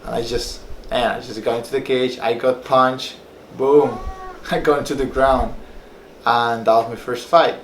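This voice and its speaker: A young man from Peru tells his story in a loose, rambling way, full of fillers. His voice sits at an ordinary pitch. The room is echoey, with a faint hum underneath. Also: fairly even intonation, a little slow. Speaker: personal trainer and former fighter. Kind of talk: casual personal story to camera.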